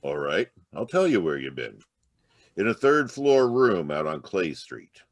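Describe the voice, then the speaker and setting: Casting: male actor for taunting police inspector in radio noir, rumbling bass, lively sardonic swoops. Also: unhurried, no echo, clean studio sound, exaggerated voice. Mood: needling, accusing. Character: smug, needling male homicide police inspector. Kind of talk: 1940s radio crime drama acting.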